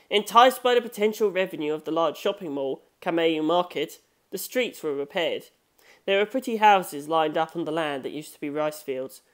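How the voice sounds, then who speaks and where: A narrator reading a novel aloud in a measured, scripted storytelling manner. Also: medium pitch.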